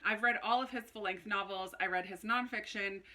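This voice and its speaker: A woman chatting casually to camera like a book vlogger, in an animated, informal way with little self-interrupting asides. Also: mid-range voice, a little fast. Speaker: book review video host. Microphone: phone camera recording.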